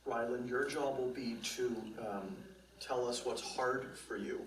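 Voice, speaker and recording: Man calmly explaining on roomy, distant footage. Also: mid-range voice, unhurried, a little hiss or hum. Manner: patient, explains step by step. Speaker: psychologist leading a demonstration session. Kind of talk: calm explanation to a teen and his mother. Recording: roomy video played back from afar.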